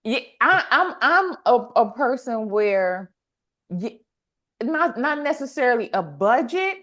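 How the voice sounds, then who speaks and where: A woman hosting a podcast, chatting casually and motivationally in an animated way, repeating phrases for emphasis and acting out little quotes. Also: fairly high pitch, takes it slowly. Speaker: podcast host.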